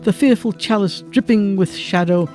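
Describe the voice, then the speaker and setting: Narrator for literary audio drama male actor: solemn, deliberate, warm soft tone over faint music. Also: high-pitched, lightly acted. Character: solemn male storyteller of a literary radio play.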